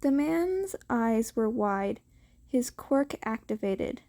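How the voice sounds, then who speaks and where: Slow, mellow woman narrating a story. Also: a little high, big pitch swings. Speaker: amateur audiobook narrator of fan fiction.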